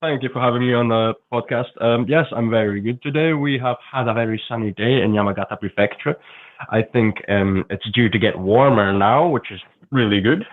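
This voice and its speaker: A man's voice: medium pitch, a little fast. A man in his twenties, raised in Scotland with a Scottish accent and Polish as his first language, a polite, slightly nervous podcast guest. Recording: tinny phone-like call line.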